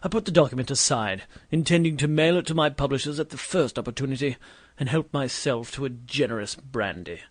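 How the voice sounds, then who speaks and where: A man's voice: medium pitch, a little fast, slight background noise, lightly acted. A man playing a grumpy, vain Victorian doctor who narrates his misadventures in a pompous, wordy way for an audio drama. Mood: wry, self-important. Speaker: narrator and lead character. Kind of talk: scripted first-person audio drama narration and dialogue.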